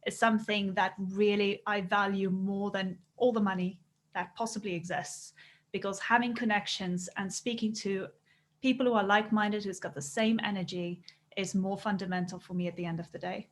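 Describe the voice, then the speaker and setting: A woman's voice: mid-range voice, fairly even intonation, moderate pace. A woman from South Africa whose first language is Afrikaans, speaking English with a South African accent as a business coach guest in an earnest, casual interview chat.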